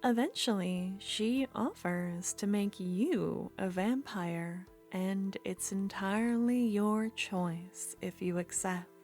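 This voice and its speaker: A woman narrating the close of an ASMR story in a low, calm, unhurried voice, partly whispered. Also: faint music behind.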